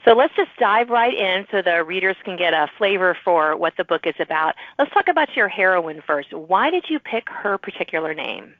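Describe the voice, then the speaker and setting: A woman's voice: lowish voice, animated, moderate pace, few pauses, no echo. A woman hosting a podcast, interviewing a guest in a conversational style, with narrow phone-like audio. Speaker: podcast host.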